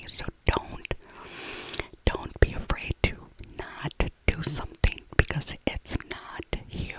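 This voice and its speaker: Whispering middle-aged woman, ASMR voiceover. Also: low-quality recording. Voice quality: breathy unvoiced whisper. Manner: rambling, softly repeats phrases. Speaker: ASMR video creator.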